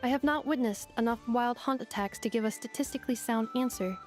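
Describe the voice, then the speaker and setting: An actress plays a courteous female android guide from a fantasy game. The voice is fairly high, with composed, precise and formal phrasing. Music runs behind it. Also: brisk, lightly acted. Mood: matter-of-fact. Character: polite, knowledgeable female robot guide. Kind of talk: video game exposition acting.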